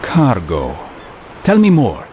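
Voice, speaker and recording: An actor playing a grave, philosophical assassin in a video game cutscene, speaking in measured, formal phrases. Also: male voice, medium pitch, huge pitch swings, a little mellow, slight background noise. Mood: terse, probing.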